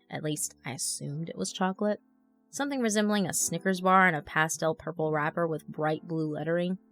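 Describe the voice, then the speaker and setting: A low-pitched actress as a chatty young woman blogger in a creepy horror story. She sounds conversational and wry, with expressive delivery, over quiet background music. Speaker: narrator. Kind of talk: scripted horror story narration. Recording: music under the voice.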